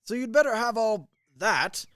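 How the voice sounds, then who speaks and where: An actor playing a blustering, old-fashioned middle-aged army major and husband in a radio play, blunt, pompous and grumbling. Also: male voice, sits high, lively intonation, moderate pace, fairly bright tone, dry recording, clean studio sound. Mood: grumbling, opinionated.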